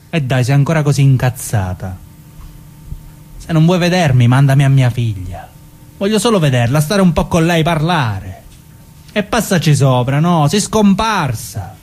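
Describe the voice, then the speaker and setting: A man playing a menacing, controlling criminal ex-husband in a radio drama, husky-voiced, insistent and coaxing in colloquial speech. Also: mid-range voice, very animated, normal speed, a little mellow. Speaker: actor in a dramatic reading. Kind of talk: dramatic radio play dialogue.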